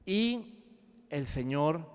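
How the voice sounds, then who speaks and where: Pastor guy, preaching, highish clear voice, big echoey church, gets loud then goes quiet. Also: animated, drawn-out words. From Mexico. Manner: swings between loud rising cries and hushed low asides. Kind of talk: sermon. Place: church sanctuary.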